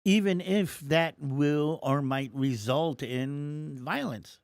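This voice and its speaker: A man co-hosting a live talk show who chimes in with quick agreements and questions and steers the topic. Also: fairly high pitch, dry recording, studio quality. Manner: interjects short agreements and questions. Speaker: co-host.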